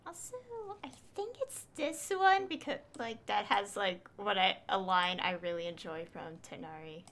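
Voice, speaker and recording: A woman's voice: medium pitch, a little slow, fairly bright tone. A young woman streaming a game, chatting casually in a rambling, hesitant way. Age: young adult. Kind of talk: casual livestream commentary.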